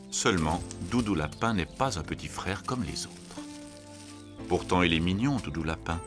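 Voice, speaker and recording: A man narrating a scripted children's story in an expressive, storytelling way. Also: sits low.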